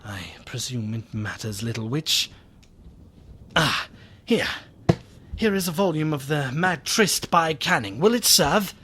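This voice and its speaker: An actor playing an anxious, theatrical gentleman who narrates a gothic radio play with solemn deliberation. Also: fairly high pitch, lively intonation, background noise. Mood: uneasy, soothing. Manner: solemn, theatrical, deliberate, hushed then fervent. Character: gentleman narrator, anxious friend of a doomed nobleman.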